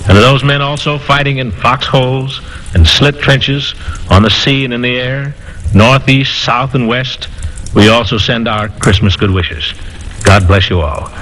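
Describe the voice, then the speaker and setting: A man's voice: medium pitch, unhurried, a bit bright. Earnest man in a vintage radio address. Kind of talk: sincere radio holiday address to listeners. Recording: faintly noisy 1940s radio broadcast.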